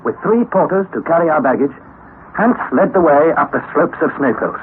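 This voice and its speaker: Thin, noisy old radio sound, man playing anxious young student, earnest formal storytelling, lively highish voice. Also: moderate pace, natural voice. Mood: grave, recounting. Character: anxious young male student recounting his expedition. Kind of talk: dramatized radio narration of a classic adventure novel. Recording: noisy, narrow-band old radio recording.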